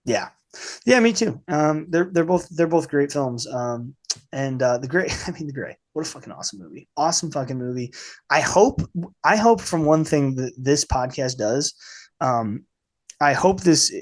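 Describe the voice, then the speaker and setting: A man's voice: fairly high pitch, animated, normal speed. Guy hosting a movie podcast, kinda rambly and informal, bit of a cold in his voice. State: has a cold, slightly off voice. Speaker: podcast host. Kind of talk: casual film review podcast chat.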